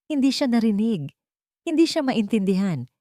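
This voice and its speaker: A woman's voice: on the high side, sing-song, moderate pace, mellow, no room sound, studio quality. A woman narrator reading a scripted, dramatic romantic story.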